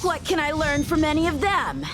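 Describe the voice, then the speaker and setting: A woman's voice: high voice, moderate pace, natural voice. A woman voicing a confident young male sword prodigy in a video game, speaking earnestly and formally with composure. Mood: eager to fight. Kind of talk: dramatic video game voice acting. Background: music.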